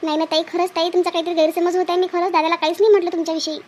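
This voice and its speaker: A woman acting as a young cartoon sister-in-law speaks extremely high and fast, with a Vidarbha Marathi accent. She sounds chatty, earnest and deferential to her in-laws. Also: flat delivery. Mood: pleading. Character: gentle young sister-in-law, teasing but easily wronged. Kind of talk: amateur cartoon family drama.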